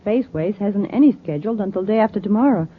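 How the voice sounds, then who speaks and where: An actress playing a capable, composed undercover agent, giving crisp, matter-of-fact reports in a vintage radio space serial. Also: female voice, medium pitch, lively intonation, quick pace. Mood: businesslike. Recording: muffled, noisy old radio recording.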